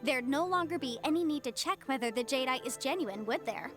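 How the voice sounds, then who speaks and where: A woman's voice: high-pitched, animated, a little fast, music in the background. A woman voicing an inquisitive young female legal adviser in a video game, articulate, probing and confident.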